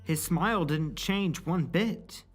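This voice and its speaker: A man's voice: a little high, takes it slowly. A man reading scripted, dramatic story narration as the narrator of an audio drama.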